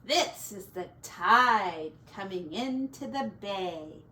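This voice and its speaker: A woman's voice: medium pitch, expressive, slow pace, bright, forceful tone. A woman teacher reading a picture book aloud to children in a sing-song, dramatic way. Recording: distant mic, faint background noise.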